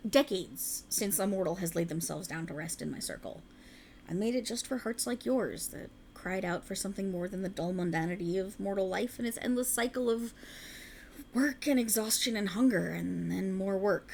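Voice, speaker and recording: An ancient, alluring faerie woman, played by a woman speaks in a creak-edged voice at a medium female pitch. She coaxes in formal, archaic phrases, tender and soothing with a sinister possessive streak. It is an ASMR roleplay with some ambient noise behind the voice. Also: a little mellow, natural voice.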